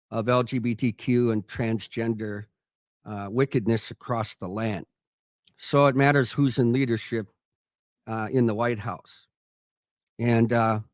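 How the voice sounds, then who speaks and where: Fervent mid-pitched man preaching on air. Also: no echo, clean studio sound. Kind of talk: preaching commentary.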